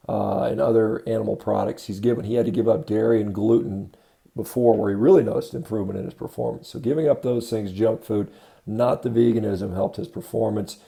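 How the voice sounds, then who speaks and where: A man giving unscripted critical commentary as a host, matter-of-fact and halting, often correcting himself. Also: on the low side, creaky, warm tone. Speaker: host of a diet commentary video.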